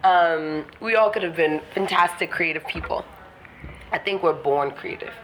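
A woman's voice: fairly low pitch, normal speed, a little hiss or hum, low-quality recording. A woman from Ghana talking frankly and reflectively in an interview, laughing often and swearing casually. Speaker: guest artist.

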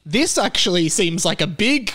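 A man's voice: high-pitched, sing-song, normal speed, sharp tone. Australian man bantering on a comedy podcast. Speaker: podcast host. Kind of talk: casual comedic podcast chat.

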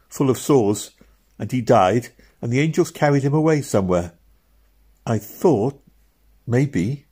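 A man voicing an earnest little girl who soothes her younger brother in a soft, hesitant way. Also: mid-range voice, breathy edge, pitched above the performer's own voice, close to an everyday voice. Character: earnest little girl, protective older sister.

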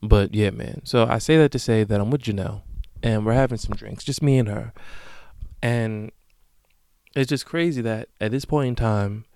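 A man in his late twenties hosting a solo podcast, talking casually and profanely with sudden loud bursts. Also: medium pitch, clean tone, no room sound, studio quality. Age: late 20s. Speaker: podcast host.

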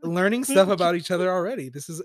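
Soft-spoken young man, slightly high voice. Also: lively intonation, quick pace, a little hiss or hum. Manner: soft-spoken, hesitant, many ums. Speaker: podcast co-host. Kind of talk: casual chat.

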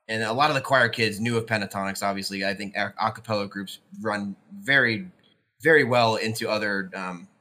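Man in his 20s, podcast co-host, bright tone, casual rambling chat. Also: fairly low pitch. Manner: rambling, many ums and restarts.

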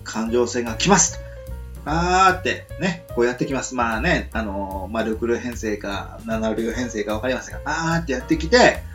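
A man's voice: mid-range voice, expressive, normal speed, fairly bright tone, faint music behind. A man with a Kansai accent co-hosting a casual radio chat, rambling with many hesitant fillers. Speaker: podcast co-host.

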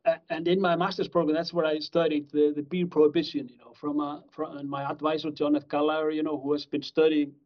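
A man's voice: a little high, little pitch movement, quick pace, few pauses. A middle-aged man from Iceland, a professor being interviewed, who rambles with many fillers and restarts. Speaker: guest criminology professor. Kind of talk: conversational interview answers. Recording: slightly dull lo-fi audio.

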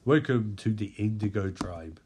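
A man's voice: on the low side, lively intonation, a little slow, background noise. A man voicing a solemn magical power ring that formally announces its chosen bearer in a comic story. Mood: solemn proclamation.

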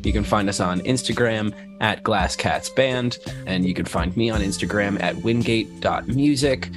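A man's voice: on the low side, normal speed, over music. A man introducing himself as a guest player, goofy and upbeat.

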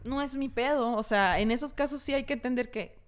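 Mexican woman cohosting a podcast, kinda high voice, slangy and animated, swears a lot. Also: fairly quick. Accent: Mexican Spanish. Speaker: podcast co-host. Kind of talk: casual chat.

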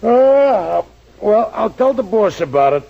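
An actor playing a grudging, slangy working-class plumber's helper in a 1940s radio comedy. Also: male voice, sits high, big pitch swings, slow pace, creaky, heavily acted. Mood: grudging, dismissive. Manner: grudging, dismissive, folksy ungrammatical speech. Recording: dull old radio recording.

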